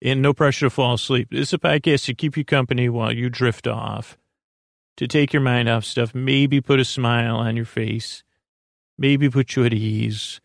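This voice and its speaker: A man with a creaky, gravelly voice hosts a sleep podcast, giving a soothing, meandering bedtime monologue with hesitant, repeating, lulling speech close to the microphone. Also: mid-range voice, steady tone, no room sound. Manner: meandering, hesitant, repeats and stumbles over words; lulling, gentle, drawn-out delivery.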